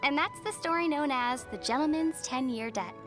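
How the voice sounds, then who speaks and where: A woman voicing a composed young female traveler in a video game, gentle, measured and thoughtful, with a wry edge. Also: high voice, music in the background, natural voice. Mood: calm storytelling. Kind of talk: video game character voice lines.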